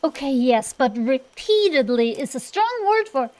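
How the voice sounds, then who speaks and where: Woman playing a high-voiced cocky tomboy dragon girl. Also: very animated, a little mellow. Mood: sheepish, evasive. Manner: blustering, bantering, hesitant excuses full of drawn-out pauses. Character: cocky tomboy dragon-girl sorceress, defensive, gambling-addicted scamp. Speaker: ASMR roleplay performer. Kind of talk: ASMR roleplay, one-sided comedic dialogue.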